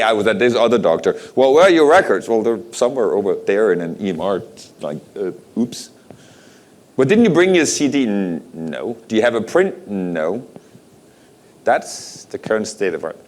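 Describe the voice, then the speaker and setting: A middle-aged man from Denmark giving a conference keynote, asking the audience rhetorical questions in a conversational way. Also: lowish voice. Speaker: conference speaker. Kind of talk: keynote talk.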